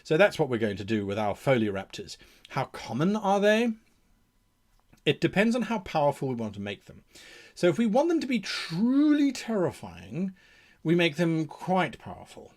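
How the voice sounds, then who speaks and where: A man's voice: fairly high pitch, huge pitch swings, moderate pace. A man hosting an advice show in a casual, enthusiastic explaining style, with theatrical emphasis. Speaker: host of a game mastering advice show.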